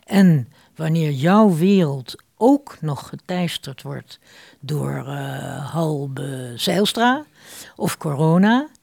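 Casting: female radio presenter, unhurried and scripted, lots of intonation, creaky edge. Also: low-pitched, expressive, darkish tone, no room sound, clean studio sound. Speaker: presenter introducing the episode. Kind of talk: scripted radio drama introduction.

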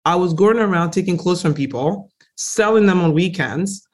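A young woman from Congo, a native French speaker, talks as a guest in a relaxed interview. She leans hard on key words and tells her story with lively emphasis, sounding eager and direct. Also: fairly low pitch, normal speed. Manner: emphatic, animated, frequently stresses words. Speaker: guest entrepreneur.

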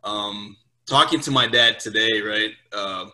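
A man's voice: fairly low pitch, a little slow, bright, forceful tone. A man, a Portuguese speaker, chatting informally in an interview. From Brazil, later Portugal, England and Louisiana. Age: 20s. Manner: informal, swearing, checks in with "right?". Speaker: podcast guest, US Marine sergeant. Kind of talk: casual interview chat.

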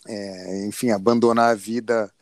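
A man's voice: lowish voice, level tone, normal speed, darkish tone, no echo, studio quality. A man with a Brazilian accent in a reflective panel discussion, searching for his words as he goes.

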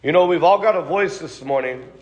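Higher-voiced male preacher in a reverberant hall. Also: brisk. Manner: casual, conversational preaching with many restarts and "like"; repeated "God" and "Lord" tags in prayer. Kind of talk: sermon with spoken prayer. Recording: long reverb of a large hall.

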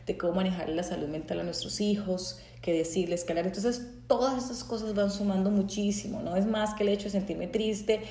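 A Colombian woman from Santander speaks candidly about her own life, pausing often and restarting her sentences as she reflects. Her voice has a mellow, warm tone, and the room gives it a noticeable echo. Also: mid-range voice. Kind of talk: personal testimony talk.

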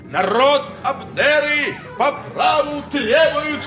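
An actor playing an impassioned, indignant lawyer in an ancient court, declaiming pompously in a theatrical radio play style. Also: male voice, very high voice, takes it slowly, bright, forceful tone, soft background music, heavily acted. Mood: accusing.

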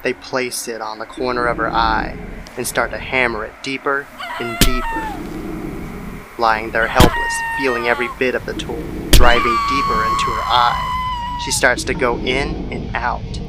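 Man narrating horror over music. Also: mid-range voice.

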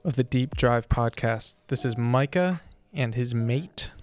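A man hosting a casual podcast, husky and sick with a sore throat, talking in a thoughtful, rambling way. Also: medium pitch, unhurried. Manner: thoughtful, rambling, self-correcting. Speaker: podcast host.

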